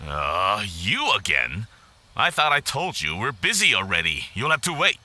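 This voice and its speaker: A man's voice: fairly low pitch, huge pitch swings, normal speed, bright, forceful tone, slight background noise, heavily acted. A man voicing a busy, brusque, officious male samurai guard in a video game. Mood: irritated. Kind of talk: video game cutscene voice acting. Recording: faint music behind.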